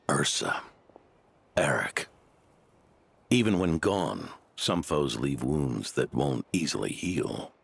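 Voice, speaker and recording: Old, gravelly, deep knight, solemn and measured, game music faint behind. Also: male voice, big pitch swings, a bit bright, no room sound, full character voice. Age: older. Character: aging male veteran knight, stern, world-weary. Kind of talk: game voice acting.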